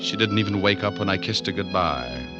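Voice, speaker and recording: An actor playing a wry, cocky, tough private detective in vintage hardboiled radio acting and narration. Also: male voice, low voice, animated, fairly quick, muffled recording, over loud music. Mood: weary, tender. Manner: wry, breezy, nonchalant.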